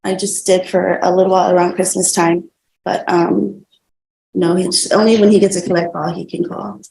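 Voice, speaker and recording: Witness in a hearing: woman, creaky and relaxed tone, halting everyday phrasing, slightly noisy courtroom recording. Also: lowish voice. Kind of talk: courtroom testimony.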